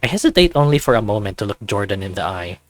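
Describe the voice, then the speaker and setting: A man's voice: on the low side, very animated, fast, no echo. A man reading scripted first-person narration from a visual novel.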